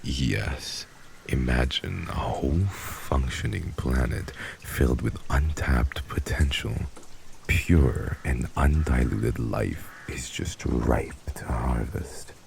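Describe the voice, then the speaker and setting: Give the vampire lord a rock-bottom, mellow-dark voice. He is heartless and commanding, speaking in pompous, archaic menace as in a fantasy audio roleplay. Background noise sits behind him. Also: extremely low pitch, slow pace, creaky, full character voice, low-quality recording. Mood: dark relish. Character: heartless male vampire lord, cold commanding crime boss.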